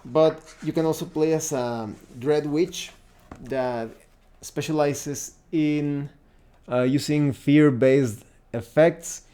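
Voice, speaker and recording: A man giving a casual, unscripted tabletop game review, often pausing to search and think aloud. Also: fairly high pitch, steady tone, warm tone. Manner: halting, searching, thinking aloud while browsing books.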